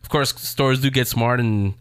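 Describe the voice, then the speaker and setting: A man's voice: medium pitch, measured pace, fairly bright tone, clean tone, no echo. A man hosting a show in a casual, rambling, conversational style, talking straight to his audience. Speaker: show host. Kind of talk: casual chat reviewing TV shows.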